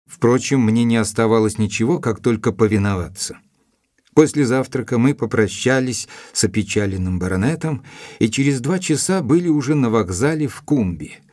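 An actor playing a loyal gentleman companion who narrates a detective audio drama in a measured, composed way. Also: mid-range voice, animated, dry recording, studio quality, lightly acted. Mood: reflective.

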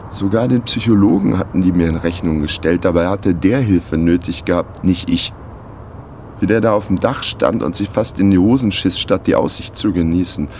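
A man's voice: on the low side, gravelly, darkish tone, a little hiss or hum. An actor playing a wry, laconic, down-on-his-luck man of thirty in a radio crime drama. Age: 30s. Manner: laconic, sardonic. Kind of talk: radio crime drama first-person narration.

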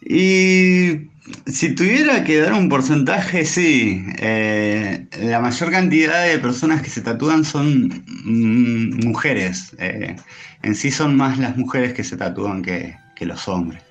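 A man with an Argentine Spanish accent answering interview questions in a thoughtful, hesitant way with many pauses. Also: medium pitch, animated. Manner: hesitant, thoughtful, pausing often. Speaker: interview guest, tattoo artist.

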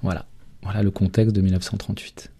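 Man, radio guest, relaxed warm tone, lowish pitch, colloquial, halting, repeated words. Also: slightly rough. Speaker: interview guest, journalist who researched football history. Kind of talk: spontaneous radio interview.

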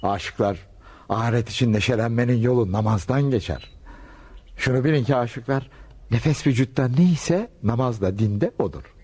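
A man's voice: mid-range voice, moderate pace, darkish tone, slightly rough, natural voice. A male actor playing a kindly, wise Sufi master in a radio play, solemn and fatherly. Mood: fervent preaching. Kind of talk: Turkish radio theatre acting.

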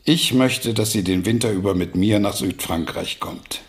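An actor in a German radio drama playing a stout, blunt old father who urges deliberately, with pointed pauses. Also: on the low side, natural voice. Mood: insistent, reproachful. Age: older.

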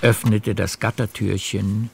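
Low, creaky male storyteller. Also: level tone, unhurried, noisy background. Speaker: narrator. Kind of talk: literary radio drama narration.

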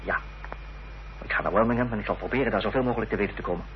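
An actor playing a determined gentleman investigator, formal and reasoning, in a period radio drama. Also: on the low side, racing, close to an everyday voice. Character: earnest, determined gentleman investigator in Victorian mystery. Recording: dull, noisy, humming old radio recording.

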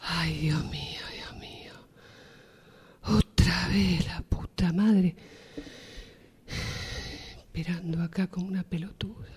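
A woman playing an impatient, hurried worker stuck in a queue in an improvised radio drama exercise, with an Argentine Spanish accent. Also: fairly low pitch, steady tone, darkish tone, husky, exaggerated voice. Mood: muttering, fed up.

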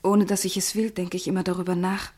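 A woman's voice: on the low side, little pitch movement, fast, warm tone, lightly acted. An actress playing a troubled, fearful woman who speaks in a subdued, confiding way in a German radio play. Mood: anxious, subdued.

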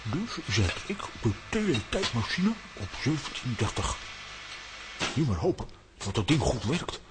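A man's voice: medium pitch, very animated, measured pace. An actor playing a vain, easily offended comic man in a children's radio play, indignant and theatrical. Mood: apprehensive. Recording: clearly noisy recording with clicks.

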